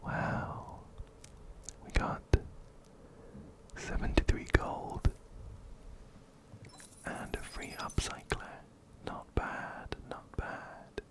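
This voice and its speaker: Male ASMR gamer, whispered throughout, breathy and unhurried, ear-to-ear close miking, repetitive soothing phrasing. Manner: unhurried, repeats words and phrases. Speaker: ASMR gaming video host. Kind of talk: ASMR let's play commentary. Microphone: close-up binaural microphone, ear to ear.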